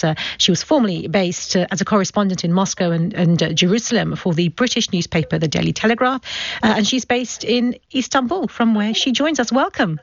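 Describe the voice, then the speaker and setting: A woman's voice: medium pitch, no room sound. Woman radio presenter, dry slightly dull sound. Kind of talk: live broadcast hosting and interviewing. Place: radio studio. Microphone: studio microphone. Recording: slightly dull, band-limited broadcast audio.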